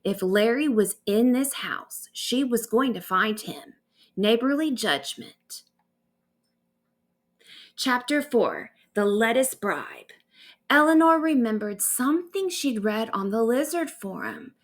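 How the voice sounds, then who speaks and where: A woman narrating a bedtime story aloud in an expressive storytelling manner. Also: on the high side, slow. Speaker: author reading own stories aloud.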